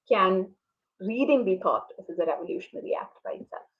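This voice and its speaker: A woman's voice: mid-range voice, animated, brisk. Reflective woman on a muffled call. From India. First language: Tamil. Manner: reflective, many ums and restarts. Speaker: moderator. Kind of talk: online book conversation. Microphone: video call. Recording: dull lo-fi video call audio.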